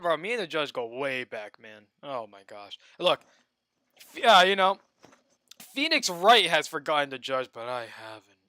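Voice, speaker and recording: A young man streaming a video game, joking and excitable, swinging between loud outbursts and low muttering. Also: clean tone, dry recording. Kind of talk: casual gameplay commentary.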